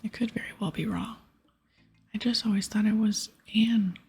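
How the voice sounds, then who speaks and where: A woman speaking in a soft, breathy whisper close to the mic, giving gentle, casual ASMR gameplay commentary. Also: medium pitch, fairly even intonation, normal speed, faint music behind. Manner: gentle, hushed, casual rambling. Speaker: ASMR gaming video creator. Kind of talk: ASMR whispered gameplay commentary with quest dialogue read aloud.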